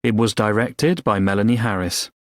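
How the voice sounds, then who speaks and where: Low-voiced male radio announcer. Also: steady tone, moderate pace, no echo. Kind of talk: scripted closing credits.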